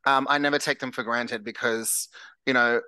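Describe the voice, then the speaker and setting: An Australian man speaks as an interview guest in a frank, earnest, easygoing manner. His voice is bright and pushed forward. The sound is close and dry, with no room around it. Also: medium pitch, steady tone, moderate pace, clear, resonant tone, no room sound, poor audio. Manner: earnest, candid, self-described larrikin. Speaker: singer-songwriter guest. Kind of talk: casual interview chat.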